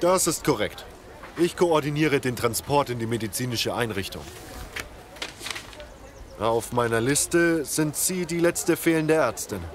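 A man's voice: medium pitch, animated, measured pace, pauses often, slight background noise, close to an everyday voice. A man playing a protective, decisive soldier in a zombie audio drama. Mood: businesslike. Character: commanding male soldier, protective and decisive under attack. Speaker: voice actor.

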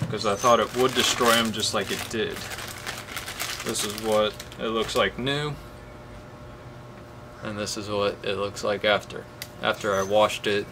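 Young man, lowish voice, casual DIY vlog talk, outdoor camera recording, clear background noise. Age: 20s. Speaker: DIY video host. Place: outdoors beside a shed. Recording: clearly noisy outdoor ambient hum.

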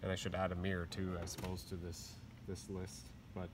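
A man with a very low, even voice talks through his tools in a loose, plain-spoken way, full of fillers and asides. He is recorded from a camera over a steel workshop bench, with a faint hum of room sound behind him. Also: normal speed. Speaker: aircraft mechanic. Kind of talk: casual tool show-and-tell.

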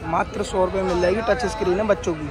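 A man's voice: sits high, steady tone, very fast. A male vlogger walking through a crowded outdoor market, casually pointing out goods and prices on a handheld phone. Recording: loud crowd noise. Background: crowd and traffic.

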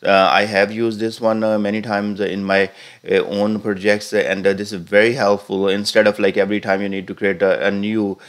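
Low-voiced man teaching a software tutorial. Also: flat delivery, moderate pace. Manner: hesitant, many "uh" fillers and restarts. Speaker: tutorial instructor. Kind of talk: screen-recorded software tutorial. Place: voice-over on a computer screen recording.

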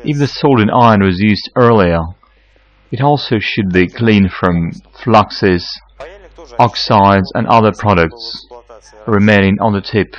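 A man in his forties giving a measured, scripted how-to explanation as a technical consultant. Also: lowish voice, highs cut off. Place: electronics workshop, indoors.

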